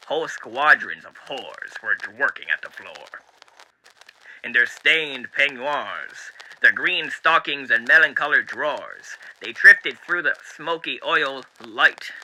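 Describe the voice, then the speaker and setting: A man's voice: mid-range voice, sharp tone, exaggerated voice, rough recording. A man voicing a genteel, eerily cheery demon radio host with showy, mannered delivery, in the style of 1930s radio acting. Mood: storytelling.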